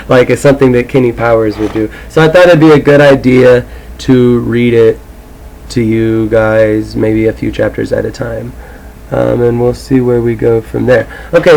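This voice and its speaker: Amateur male audiobook reader, mid-pitched voice, loose and irreverent, casual and sweary. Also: gravelly, darkish tone, slight background noise, rough recording. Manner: irreverent, foul-mouthed. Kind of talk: casual rambling talk and reading aloud.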